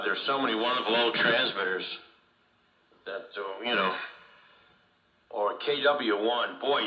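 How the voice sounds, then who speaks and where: A man's voice: medium pitch, pushed and bright. An older man chatting and reminiscing casually as a ham radio operator, heard over AM radio. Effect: AM shortwave radio. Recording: echoey AM radio signal.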